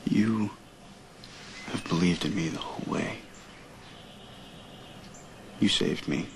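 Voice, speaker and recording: An actor playing a troubled young man with a dark past, speaking in a quiet, guarded and earnest way in a soap opera scene. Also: slow. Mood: guarded tender. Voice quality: low gravelly voice. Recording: TV soap audio with steady background noise.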